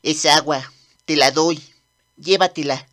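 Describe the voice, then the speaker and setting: A woman's voice: sits low, steady tone, slow, fairly bright tone. A woman voicing a cocky young demon prince in a manga dub, brash and commanding. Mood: cool, cocky. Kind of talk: Spanish manga dub acting.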